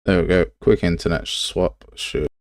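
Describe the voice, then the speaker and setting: A man's voice: sits low, steady tone, normal speed, gravelly, darkish tone, no room sound, studio quality. A man giving a casual live tutorial, talking to himself as he works and sounding self-deprecating. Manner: muttering to himself while working. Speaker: game development livestreamer.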